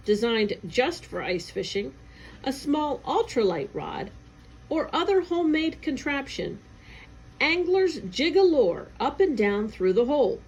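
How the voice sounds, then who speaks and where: A woman reading an educational newsletter aloud in careful, clearly separated phrases. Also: on the high side. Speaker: agency staff reader.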